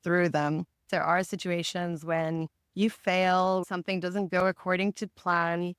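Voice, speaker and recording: A woman who grew up in Botswana speaks as an interview guest, her voice crisp and on the low side. She is articulate and measured, with a positive way of putting things. The sound is close and dry, with very little room in it. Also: flat delivery, no echo. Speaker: podcast guest, marketing director. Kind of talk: reflective interview answers.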